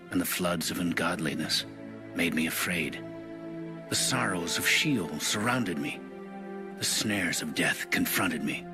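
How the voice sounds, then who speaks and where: An actor playing a devout ancient psalmist king in prayer, solemn and measured, in a dramatized audio Bible. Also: low voice. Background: background music.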